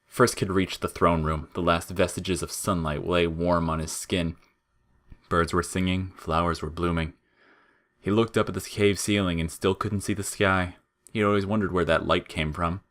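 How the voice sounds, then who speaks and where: Low-voiced man narrating audio fiction. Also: steady tone, moderate pace, a bit bright.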